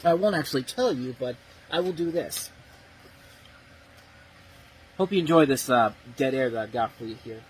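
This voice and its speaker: A man's voice: mid-range voice, moderate pace, long pauses, breathy edge, rough recording. A man giving a blunt, profane, exasperated movie review, ranting about what went wrong. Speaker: film reviewer.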